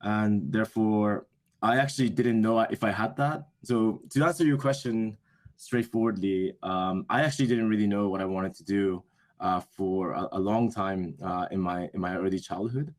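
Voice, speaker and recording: A man's voice: on the low side, moderate pace. A young man whose first language is Japanese, answering interview questions in a thoughtful, hesitant way that often corrects itself. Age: young adult. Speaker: interview guest, business school student.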